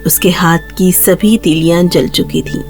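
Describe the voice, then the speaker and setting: A woman's voice: on the low side, fairly even intonation, a little mellow, soft background music. A woman narrator reading a scripted children's fairy tale in a storytelling style.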